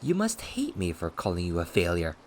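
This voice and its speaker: A man's voice: sits low, wildly swooping pitch, normal speed, a little hiss or hum. A man voicing a gleefully unhinged, cocky male ghost who mocks and taunts in an audiobook story. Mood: gleeful provocation. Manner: cocky, mocking, manic, shouting and swooping.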